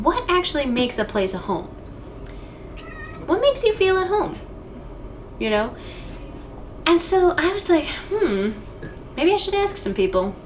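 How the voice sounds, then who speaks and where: Bubbly high-voiced woman hosting a vlog. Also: slight background noise. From United States. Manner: bubbly, enthusiastic. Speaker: host. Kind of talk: casual vlog chat to camera.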